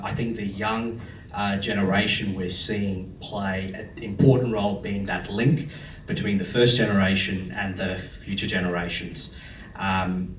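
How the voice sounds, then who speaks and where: Man, panelist, low-ish voice, earnest, measured, distant mic. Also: fairly bright tone. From India. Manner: earnest, measured, with frequent ums and uhs. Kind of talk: panel discussion remarks. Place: large function room. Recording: echoey room, distant mic, faint hiss.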